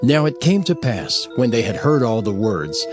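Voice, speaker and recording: A man narrating dramatized King James scripture in a formal, measured storytelling manner. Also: mid-range voice, big pitch swings, over music.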